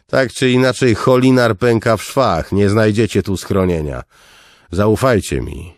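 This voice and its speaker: A man's voice: on the low side, a little slow, no room sound, studio quality, pitched above the performer's own voice. A man voicing a firm, weary city guard captain in an audiobook, insistent and exasperated.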